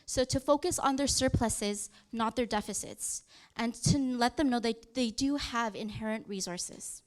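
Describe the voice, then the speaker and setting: A woman in her thirties gives a conference presentation, pitched a bit higher than most women. She talks conversationally, often pausing with fillers and correcting herself mid-sentence. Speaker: youth program presenter. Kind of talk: conference presentation walking through slides.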